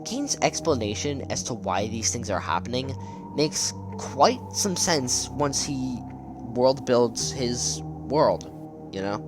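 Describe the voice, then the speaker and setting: A man's voice: medium pitch, lively intonation, takes it slowly, over music. A man giving casual scripted video commentary in a conversational, enthusiastic way. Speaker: video essay narrator. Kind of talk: casual scripted commentary on horror web series.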